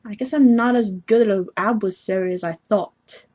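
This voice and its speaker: A woman's voice: mid-range voice, moderate pace, warm tone, natural voice. A woman voicing a haughty young male sea troll who schemes and sulks, pompous and petulant, in amateur webcomic acting. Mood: dejected. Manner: pompous, petulant, self-pitying.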